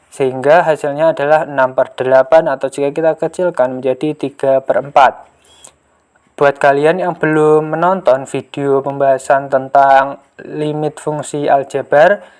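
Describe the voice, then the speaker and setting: Math tutor explaining stuff step by step, medium pitch, methodical. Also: darkish tone. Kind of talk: step-by-step lesson narration.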